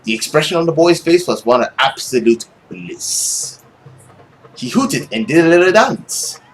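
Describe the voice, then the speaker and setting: A young adult man narrating a visual novel aloud as a let's play host, in an expressive, dramatic way. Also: fairly high pitch, measured pace, faint music behind, poor audio. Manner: animated, dramatic reading. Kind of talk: expressive story narration with casual commentary.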